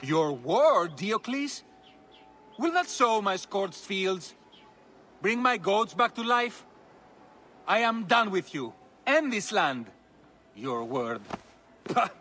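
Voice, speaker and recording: A ruined, scornful farmer from a video game. He complains bitterly in a high voice, drawing out his sarcasm with big swings of pitch and a slow pace. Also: very animated, pushed and bright, a little hiss or hum, full character voice. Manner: indignant, sarcastic.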